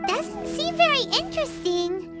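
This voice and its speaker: A chirpy little floating fairy companion, excitable and a bit bossy, chattering about herself in the third person. The voice sits very high and swoops all over, over loud video game music. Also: female voice, measured pace, full character voice.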